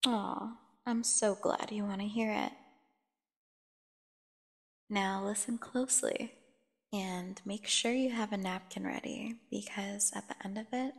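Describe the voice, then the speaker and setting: Woman acting as a slow, creaky-voiced seductive vampire captive. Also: medium pitch, fairly bright tone. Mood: coaxing, teasing. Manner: teasing, slow, theatrical, addressing the listener directly. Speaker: audio roleplay performer. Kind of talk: ASMR fantasy horror roleplay acting. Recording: long echoing reverb.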